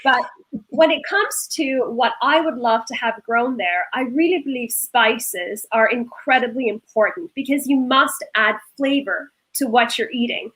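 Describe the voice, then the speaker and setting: Woman from norway, panel talk, earnest and passionate, bit high voice. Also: a little slow, fairly bright tone, rough recording. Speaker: panelist, food startup founder. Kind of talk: panel discussion.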